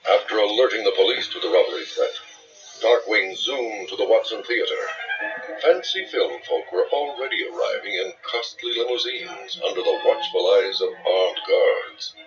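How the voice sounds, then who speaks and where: A man's voice: on the low side, expressive, moderate pace, fairly bright tone, far from the mic, muffled recording, faint music behind. A man reading a scripted, dramatic children's story as its narrator.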